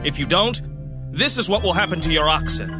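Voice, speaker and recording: An actor playing a stern Israelite messenger with a commanding, warning tone in an audio Bible drama. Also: male voice, a little high, expressive, fairly quick, music in the background, close to an everyday voice. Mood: threatening.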